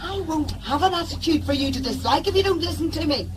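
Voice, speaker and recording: A woman's voice: high voice, expressive, quick pace, noisy background. An actress playing a blunt, impatient, commanding woman convict in an audio drama. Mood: angry, impatient. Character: sharp, impatient, commanding woman convict aboard a scavenger ship.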